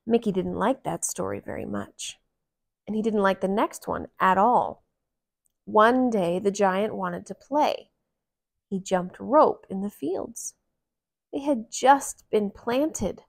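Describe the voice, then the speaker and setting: A woman reading a picture book aloud to young children in a gentle, deliberate manner. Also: medium pitch, sing-song, creaky. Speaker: children's storybook reader.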